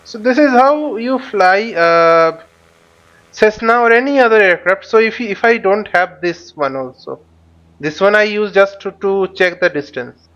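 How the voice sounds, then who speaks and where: High-pitched man, dull recording. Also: fairly bright tone. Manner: halting, repeats words and phrases. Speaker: flight simulator instructor. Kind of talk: spoken tutorial while flying a simulator. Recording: dull lo-fi microphone sound.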